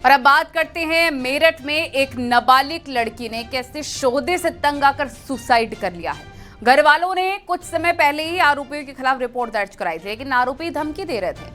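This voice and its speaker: A woman anchoring the news with crisp, formal announcing. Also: sits high, expressive, normal speed, a bit bright, soft background music. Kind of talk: scripted news reading.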